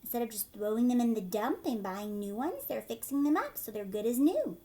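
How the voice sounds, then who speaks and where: A woman with a fairly high voice reads aloud as a teacher would to young children. She steps out of the text to explain things simply and checks in with her listeners in an instructive way. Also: expressive, a little fast, dark tone. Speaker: teacher reading a picture book aloud to children.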